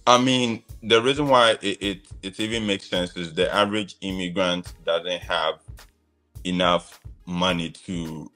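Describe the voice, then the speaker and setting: Low-voiced Ghanaian man sharing opinions. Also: fairly even intonation, a little slow, bright, forceful tone, faint music behind. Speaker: interview guest.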